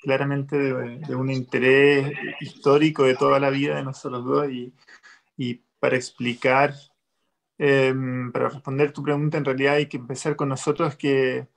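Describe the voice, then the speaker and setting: A man with a Chilean accent, a film director, answering interview questions over a video call in a relaxed, reflective way. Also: mid-range voice, gravelly. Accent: Chilean Spanish. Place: home room, video call.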